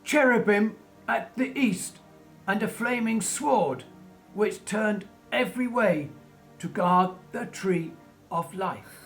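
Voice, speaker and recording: An actor playing a reanimated creature in a radio play, childlike and tormented, speaking haltingly and repeating words with effort. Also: medium pitch, a bit bright, faint music behind. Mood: eager, halting.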